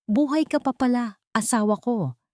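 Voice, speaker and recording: A woman's voice: on the high side, normal speed, a little mellow, no room sound, clean studio sound, voice pushed up, close to an everyday voice. A woman voicing a menacing, scheming husband in a taunting, dramatic manner for an audio story. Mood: sneering menace. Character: menacing, sneering man, treacherous scheming husband.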